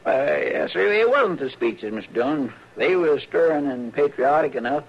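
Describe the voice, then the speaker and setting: A man's voice: a little high, animated, moderate pace, breathy edge. A man playing a good-natured, bumbling marshal's helper in an old radio western, hesitant and deferential, with a rural Western American accent. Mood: sheepish storytelling. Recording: muffled, hissy old radio recording.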